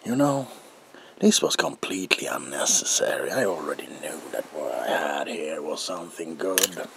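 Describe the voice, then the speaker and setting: A man's voice: very deep voice, animated, moderate pace, heavy background noise, full character voice. A man playing a gleefully deranged comic-book villain in a whispered ASMR roleplay, with a theatrical drawl and playful menace. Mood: amused, approving. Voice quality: breathy gravelly whisper.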